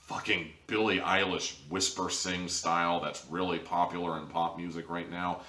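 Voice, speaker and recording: A man's voice: deep voice, bright, forceful tone. A man giving a casual, opinionated review in an emphatic, wry, conversational way. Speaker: audio drama reviewer, host. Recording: roomy, distant microphone.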